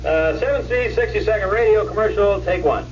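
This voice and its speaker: An actor playing a patient commercial director who gives halting corrections in a comic radio ad sketch. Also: male voice, high voice, soft background music, natural voice. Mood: patient, amused. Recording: dull radio recording, faint hum.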